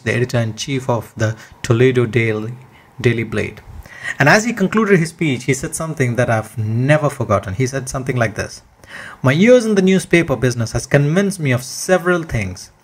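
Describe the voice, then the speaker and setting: A man with a husky voice reading a motivational speech aloud in an earnest, emphatic, deliberately phrased way. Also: medium pitch. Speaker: reader of a self-help speech.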